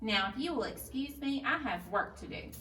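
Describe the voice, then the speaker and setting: A woman's voice: sits high, expressive, normal speed, pushed and bright. Woman voicing a grumbling old peasant woman, roomy recording. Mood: dismissive, curt. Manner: grumbling, muttering, dismissive. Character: crotchety old peasant woman who lives alone, sweeping and muttering. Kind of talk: children's storybook character voice. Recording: roomy, distant mic, faint noise.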